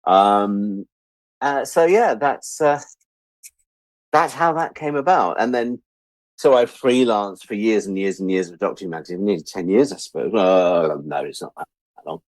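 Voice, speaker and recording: An English man in his sixties speaks in a low voice, recorded close and dry. He tells stories casually and with self-deprecation, slipping into acted-out quotes as he goes. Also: unhurried, no echo, low-quality recording. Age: 60s. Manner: animated storyteller, self-deprecating, acts out quoted remarks. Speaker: interview guest, writer and editor. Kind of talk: casual anecdotal interview talk.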